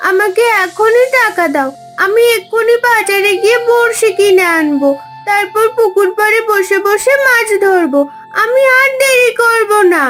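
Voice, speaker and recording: A stubborn little farm girl in a cartoon story. Her voice is extremely high, with a childlike, insistent whine. Music plays behind her. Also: moderate pace, fairly bright tone. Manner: whiny, insistent, childlike pleading. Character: stubborn, pleading little village girl, a farmer's daughter.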